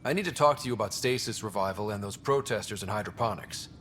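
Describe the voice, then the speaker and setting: A man's voice: fast talker, fairly bright tone, close to an everyday voice. A man playing an earnest young space explorer and leader in a video game. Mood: concerned. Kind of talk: video game dialogue acting. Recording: faint background rumble.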